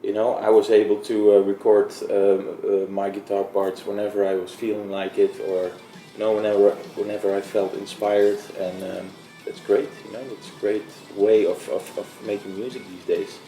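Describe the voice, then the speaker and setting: Mellow low man, roomy. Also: level tone, normal speed, hardly any pauses, faint music behind. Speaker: band guitarist. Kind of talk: casual interview answers. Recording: roomy, far from mic, faint noise.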